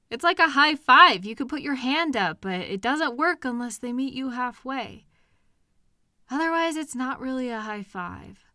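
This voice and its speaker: Woman acting as bright-voiced, caring, protective partner. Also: on the high side, no echo, clean studio sound. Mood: earnest, insistent. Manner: gentle, reassuring, earnest, with hesitant pauses. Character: caring, protective partner consoling a hurting loved one. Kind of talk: intimate comfort audio roleplay, one-sided conversation.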